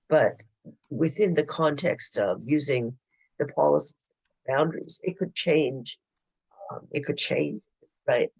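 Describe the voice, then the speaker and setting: A man who is losing his voice gives a formal, explanatory presentation at a board meeting. His delivery is halting, with frequent hesitations. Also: fairly high pitch, vocal fry, husky, dry recording, rough recording. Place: public board meeting room.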